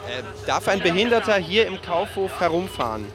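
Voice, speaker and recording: A man's voice: sits high, lively intonation, normal speed. A man in a radio play asking repetitive, insistent questions like a provocative reporter.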